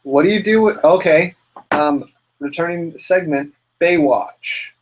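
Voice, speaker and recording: A man's voice: on the high side, a little slow, rough recording. A young man co-hosting a casual podcast, reading listener questions and joking around. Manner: giggly, reads listener questions aloud. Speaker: podcast co-host. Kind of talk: casual chat.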